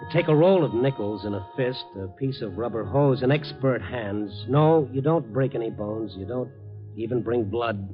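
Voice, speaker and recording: A man's voice: medium pitch, normal speed, natural voice. An American man playing a tough, wry, stubborn newspaper reporter in a 1950s radio crime drama, hard-boiled and sardonic. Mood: grim, weary. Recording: muffled vintage radio recording, music behind.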